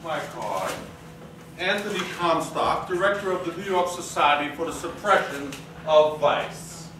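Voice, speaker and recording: A man acts a stern, officious vice crusader on stage. He speaks slowly and pompously, distant in a noisy, echoing theater. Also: fairly high pitch, lively intonation. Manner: pompous, clipped commands. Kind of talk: stage acting in a staged reading. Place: small theater, live staged reading.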